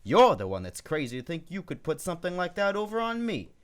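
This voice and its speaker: A man's voice: on the high side, wildly swooping pitch, fairly quick. A man playing a blustering, hot-tempered crooked stockbroker in an old-time radio drama. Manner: blustering, threatening.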